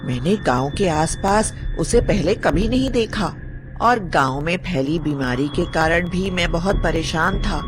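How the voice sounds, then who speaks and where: A woman's voice: lowish voice, animated, measured pace, over music. A woman storyteller reading the part of a frightened village farmer, recounting anxiously. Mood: troubled.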